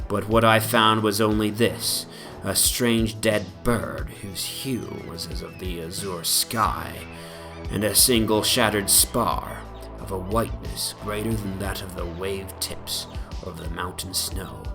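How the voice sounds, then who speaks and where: Male narrator, lowish voice, solemn theatrical horror storytelling with clear music underneath. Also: fairly even intonation, unhurried. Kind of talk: dramatic reading of a horror fantasy story. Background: prominent music soundscape.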